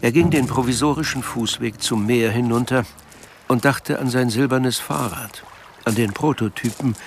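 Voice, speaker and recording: A man's voice: medium pitch, moderate pace. A man narrating a literary radio drama from a script. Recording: loud music behind the voice.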